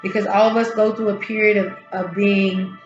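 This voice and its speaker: A woman hosting a tarot and spiritual channel, her voice a little hoarse, talking slowly and encouragingly from her home healing space. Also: mid-range voice, flat delivery, echoey room, muffled recording, soft background music. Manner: soft, unhurried, encouraging, with drawn-out pauses. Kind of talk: casual spiritual encouragement talk.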